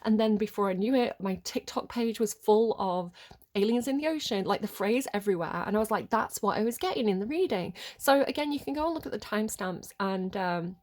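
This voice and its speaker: A woman's voice: mid-range voice, expressive, brisk, a little mellow. Chatty woman reading tarot, sniffly with a cold, rambling and filler-heavy. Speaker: tarot reader. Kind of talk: casual tarot reading chat.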